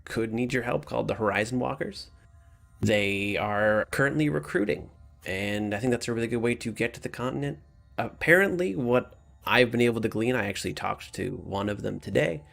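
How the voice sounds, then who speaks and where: A man improvising narration as a game master in a halting way, rephrasing as he goes. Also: medium pitch, creaky, a bit bright, soft background music.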